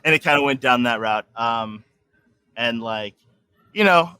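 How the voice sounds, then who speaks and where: A man's voice: mid-range voice, lively intonation, measured pace, sharp tone, smooth, dry recording. An American man with a General American accent, a podcast guest telling stories in casual conversation, rambling with many restarts. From United States.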